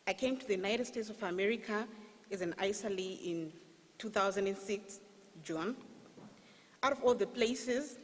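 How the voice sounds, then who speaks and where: Female speaker, Zimbabwean accent, medium pitch, formal prepared speech, long hall reverb. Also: moderate pace, long pauses. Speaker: training graduate giving a testimonial. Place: large indoor hall, public event.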